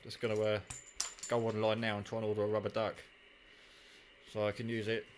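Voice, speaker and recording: A man with a fairly low voice talks through his hobby gear in a casual, offhand way. He half mutters to himself as he works with his hands. The handheld camera recording has faint noise and small handling clicks behind him. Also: completely flat delivery, brisk. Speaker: hobbyist demonstrating his CB radio kit. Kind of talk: casual hands-on show-and-tell.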